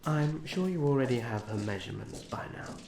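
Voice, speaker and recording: A man playing a young elf prince in a fantasy ASMR roleplay, formal, soft-spoken, gentle and protective. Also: medium pitch, lively intonation, brisk, vocal fry. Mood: gently concerned. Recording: roomy echo, faint background noise.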